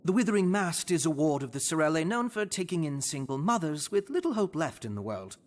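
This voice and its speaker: An actor voicing a sly, glib broker for an assassins' guild in a video game, teasing and theatrical. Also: male voice, on the high side, sing-song, fairly quick. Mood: coolly persuasive. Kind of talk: dramatic video game voice acting.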